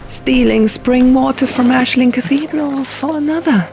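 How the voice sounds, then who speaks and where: A woman's voice: sits high, normal speed, vocal fry, darkish tone, faint music behind, voice pushed up. A woman voicing a merry, tipsy young king who tells stories in a casual, chatty way, as in an audiobook. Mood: amused storytelling.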